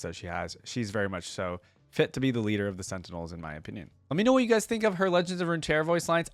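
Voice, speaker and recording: A man's voice: fairly low pitch, dry recording. A man giving informal reaction commentary as a streamer, with casual, appreciative asides. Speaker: streamer reacting to game voice lines.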